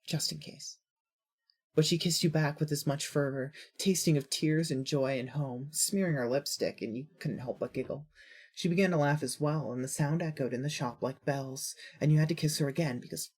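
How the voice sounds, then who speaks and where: Woman, low husky voice, scripted story narration, audio fiction narrator. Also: moderate pace, darkish tone.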